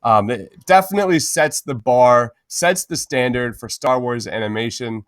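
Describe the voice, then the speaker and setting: A man's voice: medium pitch, moderate pace, no echo, studio quality. A man hosting a casual pop-culture podcast, talking informally and enthusiastically with plenty of slang. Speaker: podcast host.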